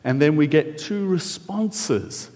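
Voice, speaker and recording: A man's voice: a little high, animated, takes it slowly, warm tone. South African man preaching in a reverberant hall. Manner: chatty, friendly, filler-heavy. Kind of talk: casual sermon with audience questions. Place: church hall.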